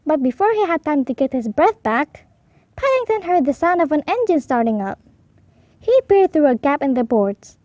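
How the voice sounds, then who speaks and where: A woman reading a children's storybook aloud as an expressive narrator. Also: sits high, moderate pace, dry recording. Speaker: storybook reader.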